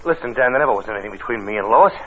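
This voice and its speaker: A man's voice: on the low side, lively intonation, racing. An actor playing a nervous young ladies' man in a 1940s radio drama, over-friendly and eager to placate. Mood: nervous, placating. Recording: tinny, hissy old radio recording.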